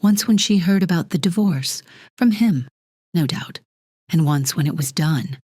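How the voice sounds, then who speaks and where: A woman acting an icy, low-voiced female executive. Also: lively intonation, dark tone, slightly rough, dry recording, studio quality. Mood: bitter, contemptuous. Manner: clipped, deliberate, imperious. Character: cold, controlling female corporate executive, divorced, armor cracking. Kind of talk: dramatic audio drama monologue.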